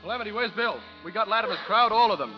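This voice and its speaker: An actor playing a brisk, earnest frontier scout in 1930s radio style. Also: male voice, animated, faint music behind. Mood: urgent. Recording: muffled old radio recording, boomy hall.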